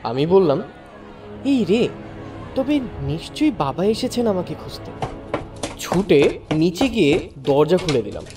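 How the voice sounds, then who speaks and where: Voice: medium pitch, big pitch swings, unhurried, long pauses, far from the mic. A narrator telling a first-person story in a dramatic, scripted audiobook style. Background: background music.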